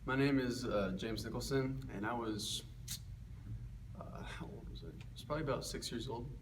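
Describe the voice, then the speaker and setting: A young man answering interview questions hesitantly, often unsure and filling pauses. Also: mid-range voice. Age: young adult. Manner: hesitant, uncertain, many fillers. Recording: distant mic with clear background noise.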